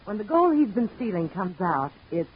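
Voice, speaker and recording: A plucky, no-nonsense young woman, played by an actress, brisk and lively, her voice rising and falling freely. It comes through a muffled old radio recording with a faint hiss. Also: medium pitch, lightly acted. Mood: earnest. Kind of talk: 1940s radio acting.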